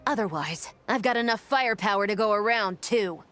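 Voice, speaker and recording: A woman's voice: medium pitch, fairly quick, a bit bright, faint music behind, lightly acted. A woman voicing a resolute law enforcer in a video game, speaking with urgent authority. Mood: urgent, commanding.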